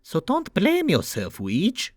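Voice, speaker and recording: A man playing a reassuring, easygoing older-brother plumber in an animated comic dub, talking casually with a teasing streak. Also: high voice, slow pace, no room sound, studio quality, exaggerated voice.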